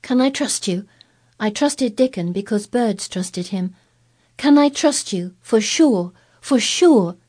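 A woman's voice: medium pitch, moderate pace, dark tone, voice pushed up, lightly acted. A woman voicing a blunt, sour-tempered young girl in a storybook reading, frank and earnest.

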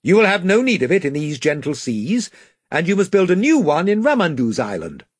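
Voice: medium pitch, wildly swooping pitch, fairly quick, husky, no room sound, studio quality, pitched above the performer's own voice. A reader voicing a proud young king who speaks in a regal, commanding way.

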